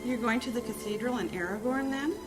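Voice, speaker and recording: A woman acting a caring, worried wife and mother in a fantasy audio drama, motherly, fussing and expressive. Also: on the high side, fairly quick, lightly acted. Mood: worried, pressing. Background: music.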